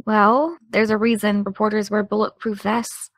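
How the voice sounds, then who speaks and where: A woman playing a determined, sardonic teenage podcaster in an audio drama, with a dry, brisk delivery. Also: medium pitch, little pitch movement, dry recording, close to an everyday voice. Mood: reassuring, confident. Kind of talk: naturalistic audio drama dialogue.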